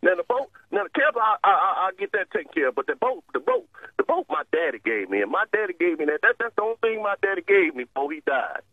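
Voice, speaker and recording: A man's voice: high-pitched, huge pitch swings, fast, few pauses, no room sound. A stubborn, combative man who repeats himself while arguing over a telephone line. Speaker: prank call target. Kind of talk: argumentative phone conversation. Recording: dull lo-fi phone line.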